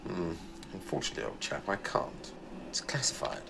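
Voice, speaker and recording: Deep-voiced, urbane British spymaster. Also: male voice, huge pitch swings, moderate pace, gravelly, in a whisper, exaggerated voice. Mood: knowing, genial. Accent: British English. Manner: jovial, clipped, old-fashioned upper-class phrasing. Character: urbane, secretive British intelligence man, brisk and wry. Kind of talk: audio drama acting.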